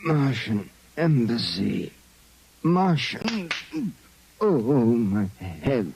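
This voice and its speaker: An actor playing a drugged private detective, halting and pleading in old-time radio drama style. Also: male voice, medium pitch, sing-song, gravelly, full character voice. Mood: groggy bewilderment. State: groggy, distressed. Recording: noisy vintage radio recording.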